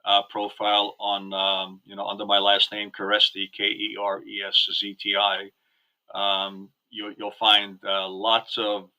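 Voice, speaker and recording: Hungarian-born male guest, lowish and very bright voice, easygoing, filler-heavy talk. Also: monotone, measured pace, rough recording. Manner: chatty, many fillers. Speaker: podcast guest. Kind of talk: casual interview talk.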